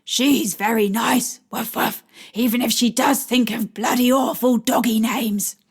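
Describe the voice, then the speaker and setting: A woman's voice: on the high side, fairly even intonation, unhurried, breathy edge. Woman doing a silly, teasing doggy voice. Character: playful talking china dog. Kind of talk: audiobook character voice.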